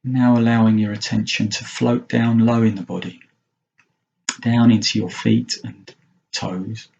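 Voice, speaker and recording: A man leading a guided mindfulness meditation as a teacher, speaking gently and unhurriedly in short phrases with long pauses. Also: on the low side, little pitch movement, creaky, highs cut off, a little hiss or hum.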